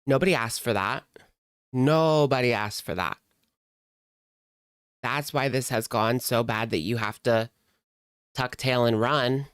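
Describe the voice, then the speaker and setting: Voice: mid-range voice, unhurried, pauses often, smooth, dry recording, studio quality. A streamer giving casual live commentary in a blunt, sarcastic, conversational way. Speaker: reaction streamer.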